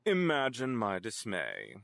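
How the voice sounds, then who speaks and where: A man's voice: medium pitch, gravelly, fairly bright tone, dry recording, exaggerated voice. A man playing a sardonic, pompous older corporate executive in an audio drama, with theatrical delivery.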